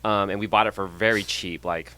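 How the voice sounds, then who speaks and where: A man's voice: fairly low pitch, fairly even intonation, bright, forceful tone, dry recording, poor audio. A man in his thirties from San Jose, California, a real estate agent talking casually as a podcast guest with plenty of ums. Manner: friendly, measured, with frequent ums and small laughs.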